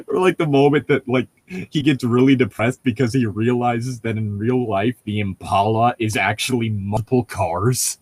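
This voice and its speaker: A man co-hosting a casual chat, rambling through stories in an excitable, profane and laughter-prone way. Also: mid-range voice, unhurried, slightly rough, dry recording.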